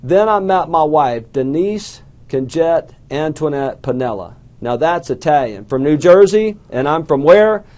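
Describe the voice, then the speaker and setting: Southern man from Alabama, middle-aged, slightly high voice; folksy motivational speaker who asks the crowd questions. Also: expressive, a little slow, hardly any pauses, a little mellow. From southern United States. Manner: folksy storytelling with self-deprecating jokes and questions to the crowd. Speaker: small business coach speaker. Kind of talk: motivational talk to a live audience.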